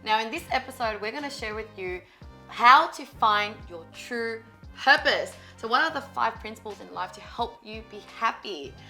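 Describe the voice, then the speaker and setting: A woman from northern Vietnam with a northern Vietnamese accent, co-hosting a casual chat show and telling her story in an animated way. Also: on the high side, moderate pace, faint music behind. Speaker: co-host.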